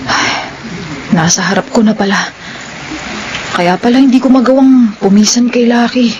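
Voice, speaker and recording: A woman's voice: a little high, moderate pace, a little mellow, dull audio, lightly acted. A woman playing a strong-willed, guarded and earnest tomboy in a radio drama. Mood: musing. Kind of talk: radio drama acting in Filipino soap.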